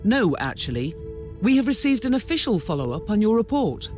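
An actor playing an earnest, dutiful starship captain in a video game, reporting in a formal manner. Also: male voice, sits high, big pitch swings, a little fast, a little mellow, soft background music.

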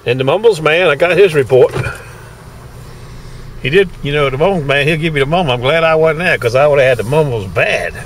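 A man's voice: a little high, animated, fairly quick, slight background noise. A middle-aged man, chatting folksily in a casual video diary from his car and home, recorded on a handheld camera. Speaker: knife sharpener vlogger.

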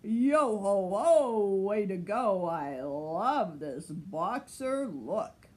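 A woman voicing a boisterous, commanding male pirate captain in a storybook, with drawn-out exclamations. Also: medium pitch, lively intonation, a little mellow.

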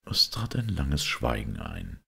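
A man reading a detective story as an audiobook, narrating in a measured, deliberate literary style. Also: rumbling low, wildly swooping pitch, gravelly, a bit bright, husky. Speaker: audiobook reader.

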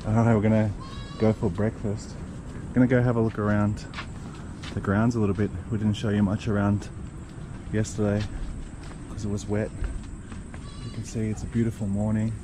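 A man in casual travel-vlog chat, showing the grounds and food in a relaxed, chatty way. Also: moderate pace, long pauses. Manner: relaxed, chatty, talks to animals in a higher playful voice. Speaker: travel vlogger. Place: outdoors in tropical resort grounds. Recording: outdoor noise with crickets and insects.

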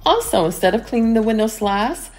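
A middle-aged woman giving a calm, step-by-step voice-over of her home cleaning routine. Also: a little high, brisk. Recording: voice-over with soft music behind.

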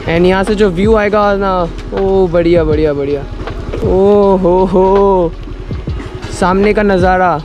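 A young man from India narrating a casual travel vlog, excitable and full of exclamations, mixing English words into his Hindi. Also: high voice, slow pace, over music. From Silchar, India. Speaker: travel vlogger.

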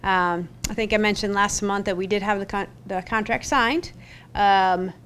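A woman's voice: medium pitch, fairly even intonation, normal speed, fairly bright tone, a little hiss or hum. A middle-aged woman giving an upbeat, chatty parks update at a public board meeting, speaking at a podium mic in a council chamber, with many fillers. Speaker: parks staff presenter. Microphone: podium gooseneck mic.

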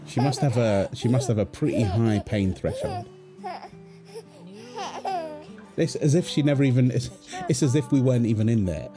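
A man's voice: mid-range voice, animated, a little fast, over music, close to an everyday voice. A man playing a tender, playful young husband and doting father in an ASMR roleplay, soothing and teasing as he talks. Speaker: voice actor of a roleplay audio. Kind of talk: ASMR boyfriend roleplay, acted one-sided dialogue.

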